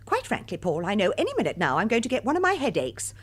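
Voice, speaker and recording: An actress playing a testy, respectable British wife in a radio comedy drama, speaking in an arch, precise, wry manner. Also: female voice, mid-range voice, wildly swooping pitch, fast talker, slightly rough. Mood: wry, strained. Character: testy, respectable, long-married middle-class wife.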